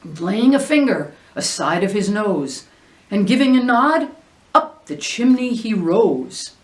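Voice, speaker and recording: A woman from New Jersey reading a Christmas poem aloud, telling it expressively with wide swings in pitch and loudness. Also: very animated, takes it slowly, echoey room, far from the mic, low-quality recording. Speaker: reader.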